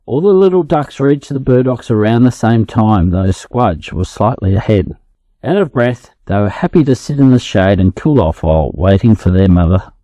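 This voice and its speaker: A man's voice: lowish voice, expressive, moderate pace, dark tone, no room sound. An Australian man narrating a children's story for English learners as a storyteller.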